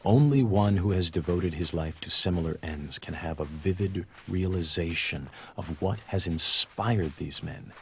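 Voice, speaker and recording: Deep, gravelly man reading deliberately. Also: a little hiss or hum. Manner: measured, deliberate, earnest delivery. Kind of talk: scripted quotation reading.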